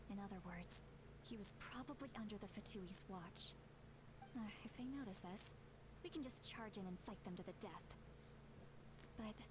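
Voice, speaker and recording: A woman's voice: medium pitch, background noise, close to an everyday voice. A woman voicing a spirited, fretful elderly shaman who tries to sound youthful, with theatrical, chatty delivery in a video game. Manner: chatty, theatrical self-questioning.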